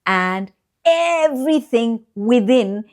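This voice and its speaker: A woman reading a children's bedtime story aloud, with expressive, deliberate phrasing and drawn-out pauses for young listeners. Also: a little high. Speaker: children's storyteller and channel host.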